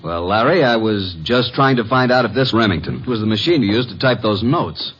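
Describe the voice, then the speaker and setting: Radio mystery sleuth, American male actor: very low voice, measured and probing, muffled noisy vintage recording. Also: expressive, close to an everyday voice. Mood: pressing, accusing. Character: composed, probing male private detective's assistant. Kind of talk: 1950s American radio drama acting.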